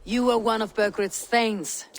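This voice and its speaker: An actor playing a resolute, unsentimental Viking raider in a video game, speaking in a blunt, clipped manner. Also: male voice, high voice, fairly even intonation, measured pace, vocal fry, faint music behind. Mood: businesslike. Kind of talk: dramatic video game acting.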